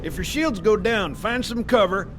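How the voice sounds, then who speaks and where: An actor playing a gruff, cranky older military armorer in a game cutscene, blunt, irritable and folksy. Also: male voice, high voice, moderate pace, lightly acted. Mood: brisk instructing. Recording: music behind the voice.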